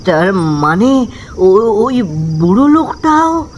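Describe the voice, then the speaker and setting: A curious villager acted by a woman in an animated ghost story. The delivery is extremely slow and animated, full of disbelief. Some noise sits behind the voice. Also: fairly high pitch. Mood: shocked. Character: curious, startled villager listening to rumours.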